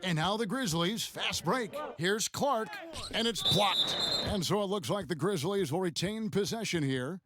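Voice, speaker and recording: A man calling the action in a high, quick-clipped broadcast voice. His lines are short and punchy, cut for a basketball video game, with clear background noise under them. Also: animated. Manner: brisk, punchy play calls. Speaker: play-by-play commentator.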